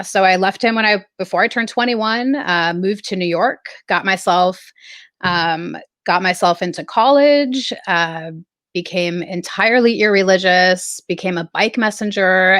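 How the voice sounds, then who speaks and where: Mid-pitch woman musing slowly, hesitant, lots of ums, dry room. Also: fairly bright tone, no echo. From Southern California. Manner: reflective, halting, many fillers. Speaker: guest artist. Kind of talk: casual interview storytelling.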